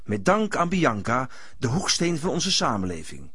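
Man as husky, animated radio-play narrator. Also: mid-range voice, moderate pace, no echo, studio quality, full character voice. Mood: mock-solemn. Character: mock-solemn storyteller.

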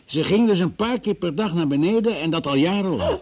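An actor playing a relentless, methodical and sceptical male interrogator in a radio play, with a gravelly, husky voice. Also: high voice, lively intonation, fairly quick, a little mellow, highs cut off. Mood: pressing, accusing. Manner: insistent, probing, methodical, sceptical. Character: relentless male interrogator questioning a young murder witness. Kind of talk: Dutch radio play acting.

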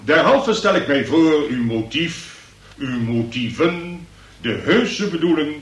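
An actor in a radio play as a pompous examining magistrate, formal, ponderous and wordy. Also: male voice, on the high side, animated, slow pace. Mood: stern, lecturing. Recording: roomy, distant radio play recording.

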